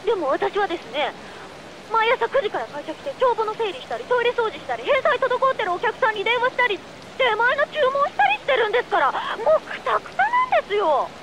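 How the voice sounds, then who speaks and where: An exasperated young female secretary in a game drama. Her voice sits very high and swings around as she complains, fussy and pushy, with clear noise behind her. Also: brisk, full character voice. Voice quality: high-pitched, animated. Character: exasperated, whiny young office secretary woman. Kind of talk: video game voice acting.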